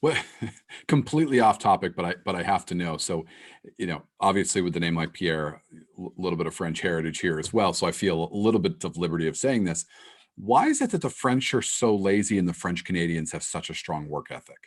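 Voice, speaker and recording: Deep husky male host. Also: animated, a little fast. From New England. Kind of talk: casual interview conversation.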